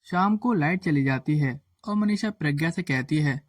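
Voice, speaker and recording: A man's voice: fairly high pitch, lively intonation, moderate pace. A man narrating a scripted moral story as a storyteller. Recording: faint background music.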